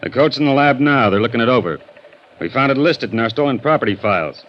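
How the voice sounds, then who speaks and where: An American actor playing a gruff, skeptical police interrogator in a 1950s radio drama, blunt, sardonic and pressing. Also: male voice, medium pitch, animated, quick pace, slight background noise, lightly acted. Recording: dull vintage 1950s radio recording.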